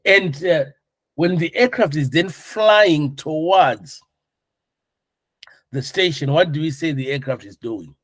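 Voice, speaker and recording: A man's voice: on the high side, slow pace, long pauses, no echo. A man working as an instructor, lecturing informally and explaining things, often checking understanding with questions. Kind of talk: classroom lecture with questions to students.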